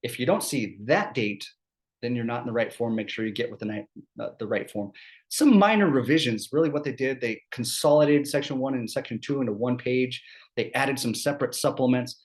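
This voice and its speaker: A man with a scratchy throat from a cold, giving an HR law seminar in a chatty way, often checking in with "right?". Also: medium pitch. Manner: conversational, quick asides, keeps asking "right?". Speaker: employment law presenter. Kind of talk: HR seminar presentation.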